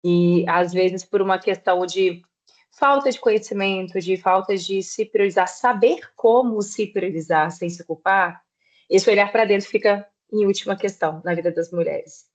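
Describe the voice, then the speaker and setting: A woman in her thirties from southeastern Brazil, a podcast guest explaining her work in a measured way. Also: medium pitch. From Espírito Santo, Brazil. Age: 30s. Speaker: guest, personal trainer. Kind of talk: podcast interview.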